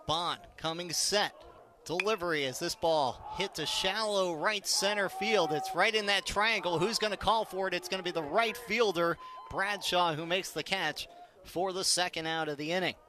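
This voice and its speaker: A man's voice: sits high, normal speed, bright, forceful tone. A man calling a live baseball game on the radio as play-by-play announcer, in clipped, descriptive phrases. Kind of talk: live radio sports commentary.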